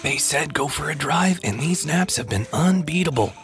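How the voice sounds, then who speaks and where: A man's voice: a little high, expressive, moderate pace, loud music behind the voice. A man delivering a scripted commercial as the advertisement's voice.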